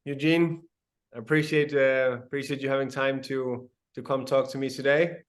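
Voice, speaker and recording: A man's voice: mid-range voice, level tone, moderate pace. A man interviewing a guest in a friendly, casual way, calling him "man".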